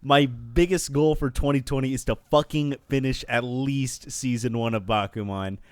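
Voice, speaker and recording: A man's voice: mid-range voice, moderate pace, dry recording. A man in casual podcast banter, joking and swearing a lot. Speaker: podcast member.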